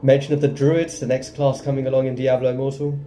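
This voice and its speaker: Guy doing casual game commentary, really mellow dark voice, bit of music behind. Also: medium pitch, level tone, quick pace. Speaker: gaming video host.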